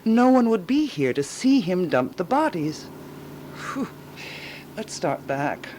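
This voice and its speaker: A woman's voice: lowish voice, sing-song, slow, mellow, slight background noise. An actress playing a fussy, self-important, eccentric woman sleuth in a radio drama, theatrical and given to pondering aloud. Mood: pondering, decisive.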